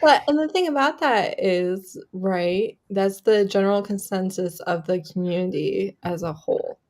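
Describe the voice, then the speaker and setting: A woman's voice: mid-range voice, unhurried, darkish tone. Emphatic woman in casual conversation. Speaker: book vlogger and co-host. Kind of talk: casual chat.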